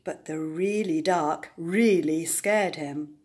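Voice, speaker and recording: An older woman reading a storybook aloud to young children, deliberate and expressive, with dramatic emphasis. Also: low-pitched.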